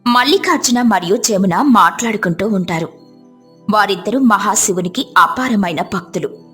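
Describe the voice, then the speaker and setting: A woman's voice: mid-range voice, lively intonation, moderate pace, fairly bright tone, soft background music. A woman storyteller reading scripted narration for a moral tale.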